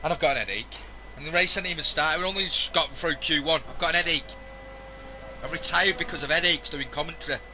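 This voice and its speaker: A man commentating a live sim racing stream, hoarse and losing his voice, joking wearily with the chat. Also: on the high side, fast, bright, forceful tone, a little hiss or hum, poor audio. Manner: weary, self-mocking banter with the chat.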